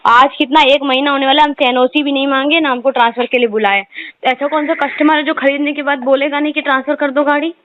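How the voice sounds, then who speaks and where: Woman customer, very high voice, pressing a grievance at length over a narrow phone line. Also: steady tone, fast. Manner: insistent, aggrieved, talks at length. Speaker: customer complaining to a car salesman. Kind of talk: phone complaint conversation. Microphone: phone call. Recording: noisy tinny phone line with clicks.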